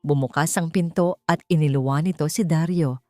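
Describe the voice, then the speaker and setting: Female narrator for dramatic story podcast, slightly low, measured and solemn, close dry recording. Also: fairly even intonation, a little mellow, no echo. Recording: dry voice over background music. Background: music.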